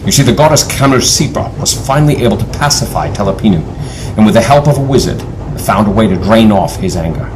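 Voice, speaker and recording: An actor playing a grave, knowledgeable team leader in an audio adventure drama, with a measured, authoritative way of explaining. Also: male voice, on the low side, expressive, close to an everyday voice. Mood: explanatory, grave. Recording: faint background noise.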